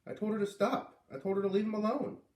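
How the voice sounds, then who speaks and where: A man's voice: sits high, fairly even intonation, fast, a little mellow, echoey room, far from the mic, voice pushed up, lightly acted. A man voicing an earnest, indignant young girl from a storybook, insistent and protesting. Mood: defensive.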